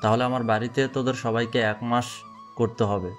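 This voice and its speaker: A man's voice: mid-range voice, little pitch movement, moderate pace, soft background music, close to an everyday voice. Villain uncle for an audio drama: middle-aged, greedy and domineering, curt orders in a level mid-range male voice, as a man acts him. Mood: bossy. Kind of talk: Bengali audio drama acting.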